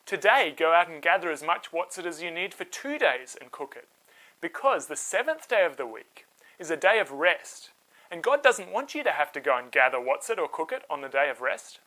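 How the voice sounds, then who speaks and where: Casting: a storyteller as a firm desert leader in a kids' Bible tale, bright, animated, plainly instructive. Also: medium pitch, normal speed, low-quality recording. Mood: instructing.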